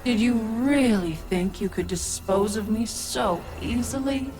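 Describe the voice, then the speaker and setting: A woman's voice: a little high, measured pace, a little mellow, soft background music, close to an everyday voice. A woman playing a mocking, scheming ancient witch spirit in a video game villain monologue. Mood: mocking, gloating.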